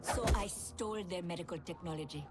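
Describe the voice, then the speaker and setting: A woman's voice: on the low side, brisk, over loud music, lightly acted. Woman playing a determined, vengeful scientist. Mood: solemn determination. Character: vengeful, determined female Indian immunologist turned fighter. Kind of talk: scripted dramatic narration.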